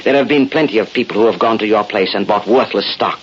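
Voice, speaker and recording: An actor playing a shady, coolly defiant racketeer in 1930s radio drama, with an American accent and a scornful, taunting delivery. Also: male voice, fairly low pitch, fast, natural voice. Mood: scornful, threatening. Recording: muffled, noisy vintage radio recording.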